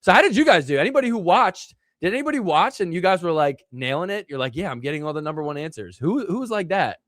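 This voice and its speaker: A chatty man hosts a casual live stream and rambles on with plenty of filler words. His voice sits a little high for a man, and it is still recovering from illness. The sound is dry and close to the mic. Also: sing-song, quick pace, fairly bright tone, no echo. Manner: rambling, filler-heavy, reads chat aloud. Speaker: host of a live stream show.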